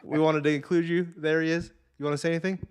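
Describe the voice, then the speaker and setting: A man's voice: a little high, little pitch movement, quick pace, slight background noise. A man hosting a casual podcast chat, bantering in slang with friends. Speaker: podcast host.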